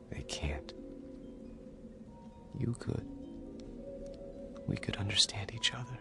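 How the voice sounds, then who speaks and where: An actor playing a lonely, shy man in love with a doll, talking in a soft breathy whisper in a radio drama. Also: low-pitched, exaggerated voice.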